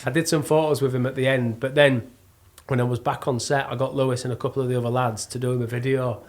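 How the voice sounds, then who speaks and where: A middle-aged man with a Yorkshire accent, chatting casually and spinning animated, self-mocking stories. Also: medium pitch, fast. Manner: jokey, self-mocking storyteller. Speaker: podcast guest, actor and plasterer. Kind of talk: casual chat, animated anecdote telling.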